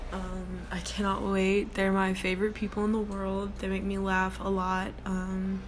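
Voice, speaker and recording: Laid-back young woman with a flat, deep voice. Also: unhurried. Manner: rambling, many ums and likes, laid-back. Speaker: podcast host. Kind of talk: casual solo podcast chat. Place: at her workplace. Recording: noticeable background noise.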